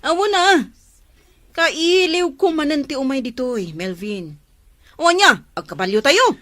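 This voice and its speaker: A woman acting a sociable, inquisitive young confidante in an audio drama, with an Ilocano accent and expressive, probing delivery. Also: high-pitched, a little slow, heavily acted. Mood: friendly greeting.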